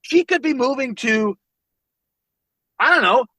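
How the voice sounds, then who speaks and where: A man in his forties from the American Midwest, a podcast guest telling family stories in an animated, loose way, swearing freely and laughing as he talks. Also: extremely high pitch, measured pace, no room sound, clean studio sound. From United States, Northwest Indiana. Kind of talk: casual storytelling conversation.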